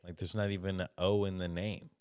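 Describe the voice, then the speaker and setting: A man with a lowish voice hosts a podcast, recorded close and dry at a studio mic. He rambles in a loose way and swears freely as he talks. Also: flat delivery, a little fast, a little mellow, clean tone, no room sound. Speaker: podcast host. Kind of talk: casual interview.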